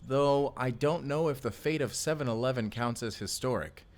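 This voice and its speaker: A man's voice: medium pitch, moderate pace, lightly acted. A man playing a tender, boyish husband from the future in an intimate, bashful, rambling romantic audio roleplay. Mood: playful, affectionate. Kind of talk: romantic audio roleplay acting, addressed to listener.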